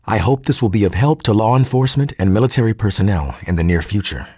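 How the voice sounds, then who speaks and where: Male scientist, low husky voice, formal and articulate, game audio-log monologue, very dry close sound. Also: animated, fast talker, mellow, dry recording. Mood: resigned, wary. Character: earnest male geneticist turning cold and militant.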